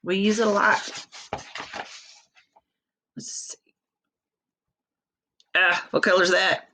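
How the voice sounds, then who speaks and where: A middle-aged woman, a teacher talking to young children in a folksy, expressive way full of questions. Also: mid-range voice, slow, background noise. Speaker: elementary school teacher. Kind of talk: read-aloud for young children, chatty asides.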